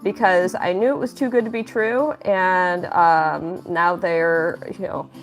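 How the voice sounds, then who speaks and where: A woman's voice: fairly low pitch, fairly even intonation, slow, faint music behind, low-quality recording. A young woman livestreaming her drawing, chatting casually and upbeat, thanking viewers and reading their messages aloud. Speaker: livestreaming artist.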